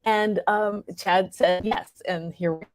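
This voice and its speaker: A woman's voice: medium pitch, steady tone, a little slow, dry recording. A woman speaking as an interview guest about creative work, in a casual, effusive and appreciative conversational way. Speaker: playwright and performer, interview guest.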